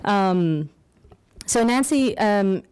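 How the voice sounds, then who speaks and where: A woman's voice: medium pitch, expressive, extremely slow, a little mellow, clear, resonant tone. A woman with an Australian accent giving an informal conference talk as an academic, conversational and modest about herself. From Australia, born in England. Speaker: academic presenter, anthropologist. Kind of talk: conference paper presentation, informal.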